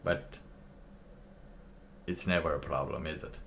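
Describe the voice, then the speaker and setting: A man streaming alone, reacting to a clip with slow, musing casual commentary and laughing to himself. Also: very deep voice, steady tone, gravelly, fairly bright tone, slight background noise.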